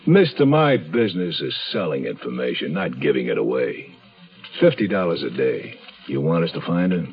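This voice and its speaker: An actor playing a cagey, mercenary private investigator in a 1970s American radio drama, brusque and sardonic. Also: male voice, very deep voice, big pitch swings, normal speed, soft background music, exaggerated voice. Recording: dull 1970s radio recording.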